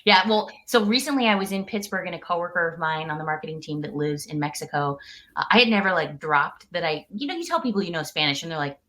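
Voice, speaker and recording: A woman's voice: lowish voice, lively intonation, fast talker, sharp tone. A woman in her thirties from the United States, a podcast guest talking casually from home over a call, chatty and laughing often.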